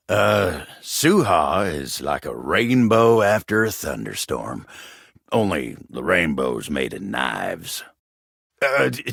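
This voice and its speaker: A man voicing a hesitant, lovestruck video game character who speaks haltingly and backtracks. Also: extremely low pitch, gravelly, fairly bright tone, husky, dry recording, studio quality, full character voice.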